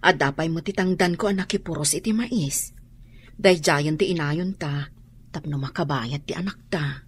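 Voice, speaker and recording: A woman's voice: low-pitched, normal speed, slightly rough. A woman playing a fretful, concerned mother of grown children in a radio drama, with a northern Philippine accent. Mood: worried, pleading.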